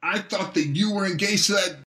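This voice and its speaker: Brief: male narrator plays enraged cuckolded husband, yelling, high-pitched. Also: brisk, echoey room. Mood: furious. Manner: shouting, losing his temper. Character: angry wronged husband, man, shouting.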